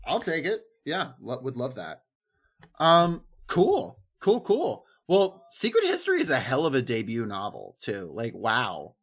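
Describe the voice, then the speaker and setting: Animated, quippy male podcast co-host. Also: mid-range voice, measured pace, husky. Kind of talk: casual chat.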